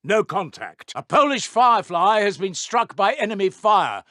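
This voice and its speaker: A man voicing a brisk, urgent British wartime army intelligence officer in a strategy game, giving clipped, formal battlefield reports. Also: high-pitched, sing-song, bright, forceful tone, dry recording, clean studio sound, full character voice. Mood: brisk, reporting. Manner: crisp, formal military delivery.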